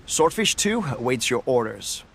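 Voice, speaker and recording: A man's voice: medium pitch, sing-song, a little slow, gravelly, a bit bright, breathy edge, full character voice. A man playing a formal, candid veteran soldier and acting captain in a video game. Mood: respectful, formal. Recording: faintly noisy game stream capture.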